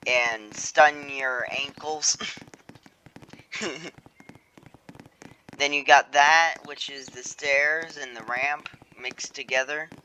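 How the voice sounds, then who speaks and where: A young man talks through his own game in a loose, rambling way, full of fillers and restarts. His delivery is slow and unhurried. The voice has a bright, forward edge, with a faint home background noise under it. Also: medium pitch, fairly even intonation, low-quality recording. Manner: rambling, many fillers and self-corrections. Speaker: game creator showing his game. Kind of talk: casual screen-recorded game walkthrough. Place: home room.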